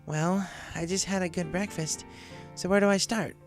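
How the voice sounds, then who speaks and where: A man voicing a tomboyish young female pegasus pony, eager and grateful, in a creaky voice for a storybook reading. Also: sits high, fairly even intonation, moderate pace, over music, voice pushed up.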